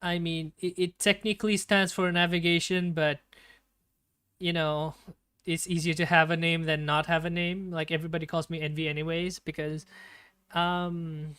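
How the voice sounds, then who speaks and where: Nervous, stammering man with a smooth voice. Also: a little high, level tone, moderate pace, fairly bright tone, no echo. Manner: nervous, stammering, many fillers. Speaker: streamer. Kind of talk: casual live-stream chat. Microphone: desktop streaming mic with noise gate.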